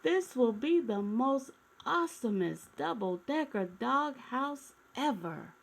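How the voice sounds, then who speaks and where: A woman voicing eager, imaginative little boys from a storybook in an excited, emphatic manner. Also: a little high, takes it slowly, creaky, heavily acted.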